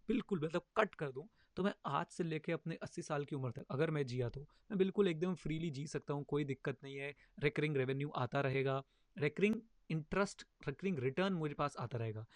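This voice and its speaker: A man in his thirties talks money in a quick, emphatic rush, his voice sitting a little high. He slips English phrases into his points. The recording is close and dry, with no room sound. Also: no room sound. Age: 30s. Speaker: finance educator, podcast host. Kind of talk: casual explanatory talk.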